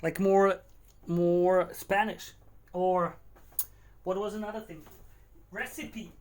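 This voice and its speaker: A man's voice: high-pitched, fairly even intonation, slow, a little mellow. Rambling Austrian man cooking on stream. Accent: Austrian German. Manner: rambling, repeats words, talks to himself. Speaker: livestreaming home cook. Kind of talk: casual livestream chat while cooking.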